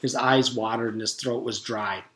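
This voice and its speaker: A man's voice: medium pitch, steady tone, moderate pace, fairly bright tone. A man in his forties, a teacher, reading a children's story aloud with casual recaps. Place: room at home. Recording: roomy echo, background noise.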